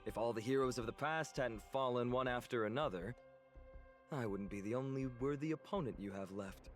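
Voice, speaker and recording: A man's voice: medium pitch, a little fast, natural voice. A man voicing a cheerful young warrior in a video game, easygoing and teasing. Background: game music.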